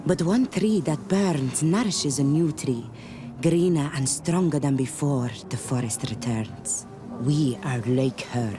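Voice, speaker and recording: A mystical clanswoman in a fantasy game. Her voice is deep for a woman, teasing and proud, with formal, stilted phrasing. Music runs clearly behind her. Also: slow. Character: playful, cryptic native clan woman, proud and mystical. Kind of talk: video game character acting. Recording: music under the dialogue.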